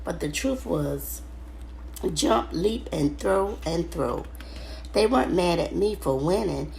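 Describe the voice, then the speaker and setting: A woman reading a children's book aloud in first person, halting now and then over the words. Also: lowish voice, lively intonation, gravelly, a little mellow, slight background noise, low-quality recording. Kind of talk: storybook read-aloud narration, first person.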